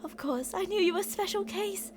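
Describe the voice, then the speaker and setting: A woman's voice: extremely high pitch, fairly quick, darkish tone, a little hiss or hum. A woman playing an obsessive, delusional young captor in an intimate, rambling ASMR roleplay monologue addressed to a captive. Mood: wounded, bitter.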